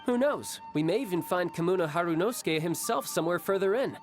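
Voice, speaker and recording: A cocky young fighter in a video game. His delivery is teasing and insinuating, with very lively swoops in pitch over faint background music. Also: fairly quick. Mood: casual, eager. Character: cocky, battle-hungry young man, a calculating warrior. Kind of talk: English video game voice acting.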